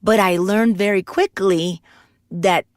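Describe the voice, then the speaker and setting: A woman's voice: mid-range voice, slow, dry recording, clean studio sound. A woman in her forties from Puerto Rico, telling her life story candidly in a casual interview, with many restarts. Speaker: guest voice actor and singer.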